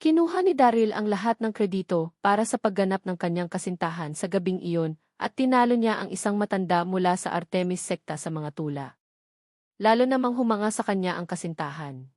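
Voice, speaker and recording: A woman's voice: medium pitch, expressive, a little fast, darkish tone, no room sound, clean studio sound. A woman reading a scripted novel as an audiobook narrator, keeping narration and dialogue in the same voice.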